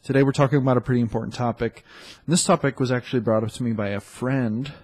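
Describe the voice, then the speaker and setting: A man hosting a dating podcast in a loose, chatty way, sounding congested and often pausing on fillers. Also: medium pitch, steady tone, darkish tone. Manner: loose and chatty, with many fillers and self-corrections. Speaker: podcast host and dating coach. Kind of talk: casual solo podcast talk.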